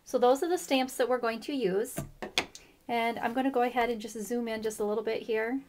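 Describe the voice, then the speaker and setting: Chatty woman with a slightly rough voice. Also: fairly high pitch, fairly even intonation, fairly quick, background noise. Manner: chatty, friendly explaining with fillers. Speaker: craft tutorial host. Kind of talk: casual stamping tutorial. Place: craft table indoors.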